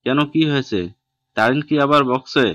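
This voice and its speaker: A man playing a strict middle-aged father in an audio story, speaking in a firm, measured, unyielding way. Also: mid-range voice, fairly even intonation, a bit bright, dry recording, close to an everyday voice. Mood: puzzled. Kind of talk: Bengali audio story acting.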